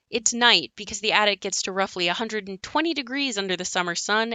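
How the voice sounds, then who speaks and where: An actress as a wry young woman narrating a stage-style audio drama about her haunted house. Her voice is bright and forward, set at a middling pitch. The recording is close and dry, and she tells it conversationally. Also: dry recording, lightly acted. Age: young adult. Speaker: narrator character in an audio play. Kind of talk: scripted dramatic monologue.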